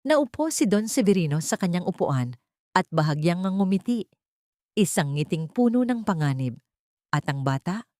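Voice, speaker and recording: A woman's voice: on the low side, sing-song, normal speed, darkish tone, no room sound, studio quality. A woman storyteller narrating a scripted audio drama in a dramatic style.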